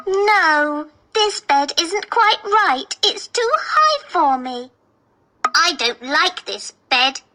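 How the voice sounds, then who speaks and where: A woman voicing a curious, fussy little girl in a children's fairy tale, speaking in simple, expressive exclamations. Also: extremely high pitch, extremely slow, full character voice. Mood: fussy complaining. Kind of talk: children's storybook acting. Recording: music behind the voice.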